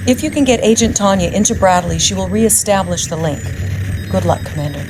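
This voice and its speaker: An actress playing a polite, businesslike American intelligence lieutenant giving video game mission briefings. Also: female voice, medium pitch, expressive, brisk, background noise, close to an everyday voice. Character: young female military intelligence lieutenant, crisp and polite.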